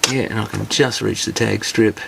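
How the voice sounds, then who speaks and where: Man, low voice with plenty of fry, casual workbench commentary as a repair hobbyist, noisy recording with clicks. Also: fairly even intonation, normal speed, bright, forceful tone. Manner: muttering, thinking aloud while working. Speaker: electronics repair hobbyist presenting a teardown. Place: repair workshop. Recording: clear background noise with clicks.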